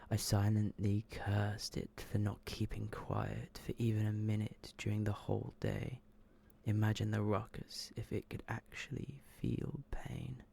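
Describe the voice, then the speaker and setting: A man softly reading short horror stories in an ASMR style, slow and hushed, often slipping into a whisper. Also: fairly low pitch, gravelly, breathy edge. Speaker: ASMR video creator and host.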